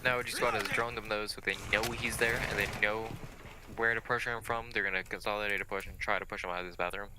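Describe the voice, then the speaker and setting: Male esports colour caster, lowish voice, measured analysis, noticeably noisy recording with clicks. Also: fairly even intonation. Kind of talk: live analytical game commentary. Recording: clearly noisy signal with clicks.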